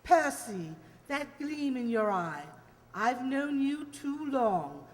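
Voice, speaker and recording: A woman playing a suspicious, knowing society lady, arch and drawn-out, in old-time radio mystery style. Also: sits high, sing-song, full character voice. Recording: echoey stage hall.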